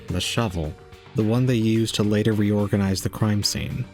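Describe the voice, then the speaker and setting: A man playing a stoic, aloof young murder suspect in an audio drama, terse and deadpan. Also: lowish voice, moderate pace, soft background music, close to an everyday voice. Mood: cold, calm.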